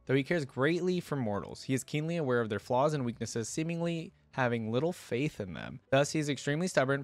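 A man's voice: mid-range voice, no room sound. A young adult man giving casual, chatty reaction commentary and reading aloud, close to a desktop microphone. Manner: chatty, informal. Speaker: reaction video host. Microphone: desktop microphone close to the mouth.

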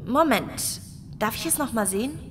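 Actress as curt, bright-voiced irritable woman. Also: a little high, expressive, moderate pace, a little hiss or hum. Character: curt, irritable woman, sarcastic and easily offended. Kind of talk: German horror audio drama acting.

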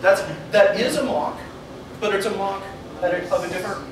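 A young man giving a meetup talk at a whiteboard, animated and laughing often as he thinks aloud and takes questions. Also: high-pitched, normal speed, a crowd in the background. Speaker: meetup speaker. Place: meeting room with an audience. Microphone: far camera mic. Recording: echoey room from far off, noisy.

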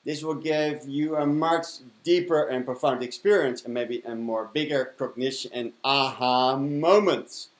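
A man's voice: medium pitch, a little slow, hardly any pauses. Man, Dutch accent, mid-range voice, effusive spiritual mentor, affirming and repetitive, roomy echo. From Netherlands. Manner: effusive, repeating affirming words. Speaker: spiritual mentor and broadcast host. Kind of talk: motivational spiritual talk to camera. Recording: roomy, echoing indoor sound.

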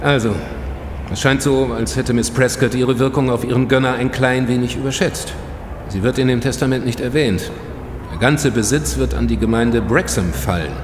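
A self-assured male investigator, played by an actor speaks in a reverberant space over clear background noise. His medium-pitched voice is wry and articulate, as in a radio crime drama. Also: normal speed, natural voice. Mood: informative, dry. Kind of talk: German radio drama dialogue.

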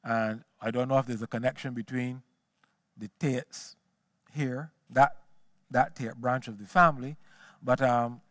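A man's voice: medium pitch, fairly even intonation, a little slow, long pauses, a bit bright, dry recording, clean studio sound. A man gives a history lecture on a small auditorium stage into a headset mic, often repeating words as he searches for the next phrase. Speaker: guest lecturer.

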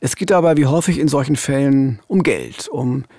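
A middle-aged man presenting a true-crime case in a deliberate, emphatic, conversational narration on a studio microphone. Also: mid-range voice, mellow, no echo. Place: indoor radio studio. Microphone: studio boom microphone.

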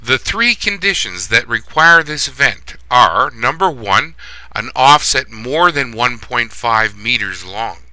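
Bright, dry-voiced male narrator. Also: medium pitch, lively intonation, slow pace, dry recording, rough recording. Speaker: plumbing instructor. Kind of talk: scripted instructional narration.

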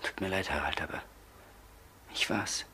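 An actor playing a tormented man confessing in a crime radio play, haltingly, often dropping toward a whisper. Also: low voice, pushed and bright, slightly rough, full character voice. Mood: halting, subdued. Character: tormented man confessing a killing to his brother. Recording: slightly noisy old radio play recording.

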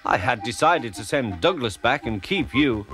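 A man playing a stern, pompous railway boss in a children's story, scolding in a measured way. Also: mid-range voice, expressive, music in the background, lightly acted. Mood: stern, disappointed. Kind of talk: dramatised children's storybook reading.

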